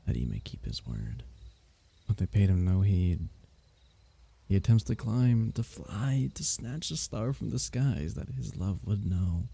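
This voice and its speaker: Man playing a very dark-voiced, gentle royal diviner. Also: sits low, full character voice. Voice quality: husky, gravelly. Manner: archaic, tender, unhurried storytelling. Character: gentle, loving royal diviner telling a bedtime myth. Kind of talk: ASMR bedtime story roleplay.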